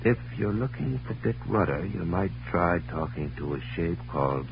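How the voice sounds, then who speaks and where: An actor playing a laid-back, poetic blues musician who owns a cafe, speaking cryptically in an old radio drama. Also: male voice, low voice, animated, moderate pace, creaky, a little hiss or hum. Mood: cryptic, moody. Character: mellow, poetic male blues pianist and cafe owner. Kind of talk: 1940s-50s radio drama acting. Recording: muffled old radio recording, loud music.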